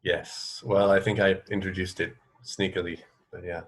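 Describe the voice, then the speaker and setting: Very low, even-toned man interviewing. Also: moderate pace, husky. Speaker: radio interview host. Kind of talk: casual interview.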